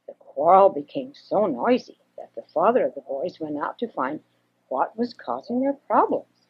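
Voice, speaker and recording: An older woman reads a children's story expressively, with a husky voice, on a homemade cassette. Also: lowish voice, big pitch swings, moderate pace. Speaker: storyteller reading for children and grandchildren. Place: home recording. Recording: dull homemade 1980s cassette recording.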